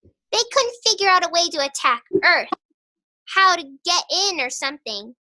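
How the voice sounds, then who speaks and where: A woman playing an excited, impatient, secretive little girl in an audio drama. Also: very high voice, moderate pace, full character voice. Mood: earnest, secretive.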